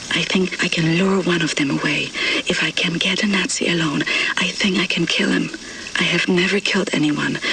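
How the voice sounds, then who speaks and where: A grave, soft-spoken diary reader. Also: female voice, lowish voice, distant mic, a little hiss or hum, rough recording. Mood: resolute. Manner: measured, soft, restrained, matter-of-fact. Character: grave young woman reading out secret wartime diary. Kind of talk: video game audio log diary reading.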